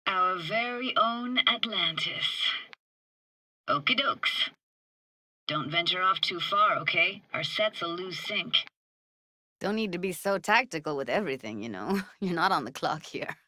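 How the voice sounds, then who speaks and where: A woman's voice: fairly low pitch, expressive, unhurried, pauses often, sharp tone, full character voice. A woman playing a blunt, guarded but tender young techie in a video game, speaking in casual, clipped slang with a natural delivery. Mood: easygoing, playful.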